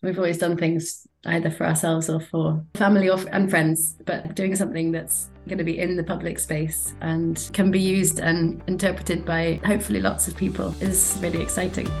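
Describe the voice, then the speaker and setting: A woman architect explaining her design in a measured, thoughtful way, with occasional restarts. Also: lowish voice, flat delivery, vocal fry, soft background music, poor audio. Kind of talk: interview answers, thoughtful.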